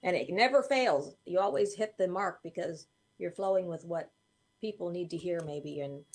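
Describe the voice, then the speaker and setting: A woman's voice: on the low side, normal speed. A woman co-hosting a casual live show, easygoing and agreeable, filling in with frequent yeahs, speaking over a video call. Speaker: co-host. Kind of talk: casual live-stream chat.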